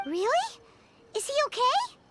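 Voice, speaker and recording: A woman's voice: very high-pitched, huge pitch swings, measured pace, loud music behind the voice, full character voice. A woman voicing a tiny, curious, childlike fairy companion in an anime-style game. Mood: surprised, worried.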